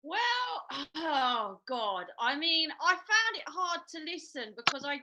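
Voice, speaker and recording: A woman's voice: sits high, big pitch swings, takes it slowly, noisy background. A woman judging a comedy battle, rambling and giggling in cheeky chat. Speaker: judge. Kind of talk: casual chat.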